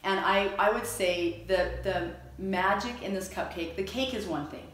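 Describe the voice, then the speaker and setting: Very echoey room, distant mic, woman host, medium pitch, casual interview, enthusiastic, repeats herself for emphasis. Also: a little slow, a bit bright.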